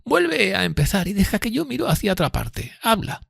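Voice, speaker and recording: A man voicing a frail, remorseful elderly gentlewoman in an audiobook, speaking slowly in a hesitant, timid murmur. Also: high-pitched, huge pitch swings, vocal fry, slightly rough, no room sound, clean studio sound, pitched above the performer's own voice, heavily acted. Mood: fearful, weary. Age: older. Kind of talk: audiobook character reading.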